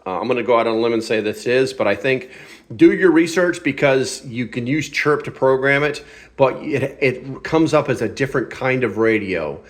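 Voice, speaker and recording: A man's voice: medium pitch, animated, normal speed. A man hosting a casual hands-on product review, talking in an informal, off-the-cuff and self-deprecating way. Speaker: host of a gear review channel.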